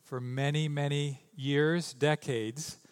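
A man's voice: on the high side, steady tone, slow pace, smooth. A man preaching a sermon, reading scripture and then talking loosely, with joking asides and stumbles, into a stage microphone in a church. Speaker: pastor. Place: church sanctuary.

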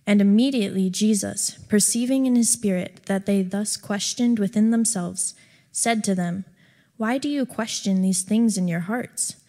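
A woman reading a scripture passage aloud to a congregation in a steady, measured way. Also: mid-range voice. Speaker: scripture reader. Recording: long church-hall reverb.

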